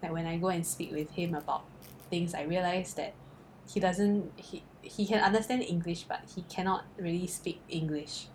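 Teasing Singaporean woman, low voice. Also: steady tone, normal speed, a little mellow, slight background noise, poor audio. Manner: laughs often, teasing banter. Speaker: podcast co-host. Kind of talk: casual chat.

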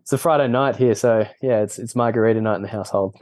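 An Australian man talks as a relaxed interview guest, often laughing and calling people mate. His voice is low and mellow, and the sound is dry and close. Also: quick pace, no echo. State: injured foot, on painkillers. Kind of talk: casual interview chat.

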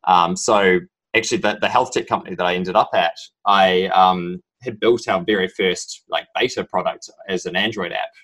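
A young man from New Zealand with a strong New Zealand accent, a podcast guest telling his story in a halting, rambling way full of ums. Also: deep voice, steady tone, a bit bright. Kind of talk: casual interview talk.

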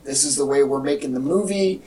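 A man talking casually as a podcast co-host, in a halting, conversational way. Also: mid-range voice, warm tone. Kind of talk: casual chat about movie news. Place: indoor room with echo. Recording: echoey room, distant from mic.

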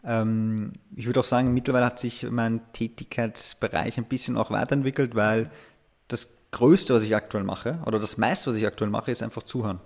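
Man in his 30s, interview guest, halting, filler-heavy, laughs easily. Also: mid-range voice, steady tone. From Austria. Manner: hesitant, many filler sounds, laughs easily. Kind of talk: casual interview talk.

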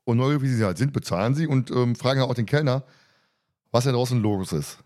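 A man speaks very quickly at a medium pitch as a podcast host. His manner is colloquial and teasing, in a casual discussion where he recaps and comments with jokes. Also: a little mellow.

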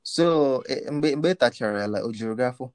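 A man's voice: medium pitch, fast, darkish tone, dry recording. Casting note: man, teasing co-host, mid-range voice, dry close mic. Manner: playful teasing, mixes English and Igbo. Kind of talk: casual banter.

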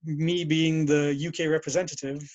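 A man's voice: a little high, little pitch movement, normal speed, darkish tone, no echo, muffled recording. A man being interviewed, telling long stories about the old days and often correcting himself mid-sentence. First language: English. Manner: rambling, self-correcting storyteller. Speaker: guest. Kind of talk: casual interview over a call.

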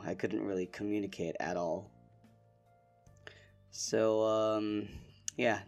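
A young man from the Philippines hosting a casual solo podcast, relaxed and rambling with lots of fillers. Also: fairly low pitch, vocal fry. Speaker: podcast host.

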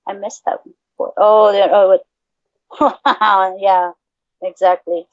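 A Mexican American woman from the Texas border co-hosting a casual podcast, excitable, laughing easily and swearing freely. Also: medium pitch, takes it slowly, no echo, highs cut off. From Texas border region, USA. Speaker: podcast co-host. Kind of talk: casual chat.

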